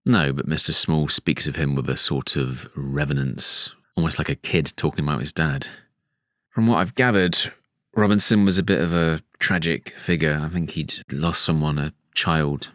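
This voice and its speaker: A measured British storybook character with an extremely low voice. He talks in a reflective, conversational way, as if being interviewed for an audio drama. The recording is close and dry. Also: a bit bright, no echo. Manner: thoughtful, hesitant, conversational.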